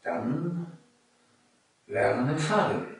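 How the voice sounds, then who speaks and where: A grave old father performed by a man in a radio drama, slow and measured, with a slightly raised pitch. The sound is very echoey, set back from the mic, with music behind. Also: expressive, gravelly, far from the mic, full character voice. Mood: grave, tender. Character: grave, solemn old father teaching his son to fly.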